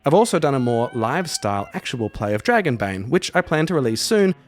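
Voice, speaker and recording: A man's voice: mid-range voice, big pitch swings, a little fast, soft background music. A man hosting a solo roleplaying podcast, delivering scripted commentary and narration. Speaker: podcast host and solo game master.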